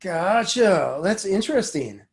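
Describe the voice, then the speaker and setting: A man's voice: high voice, very animated, a little slow, vocal fry. A man hosting a casual interview show, chatty and jokey in manner. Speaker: podcast and community radio host. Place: remote call.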